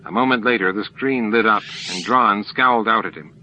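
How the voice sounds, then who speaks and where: A man's voice: on the low side, brisk, a little hiss or hum. A man reading scripted dramatic narration as an audiobook narrator. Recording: dull lo-fi tape with music.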